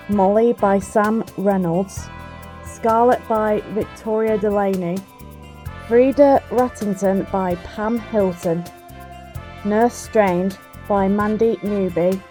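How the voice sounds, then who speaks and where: A woman's voice: medium pitch, dark tone. A woman reading scripted closing credits for an audio play as announcer. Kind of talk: scripted narration. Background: music.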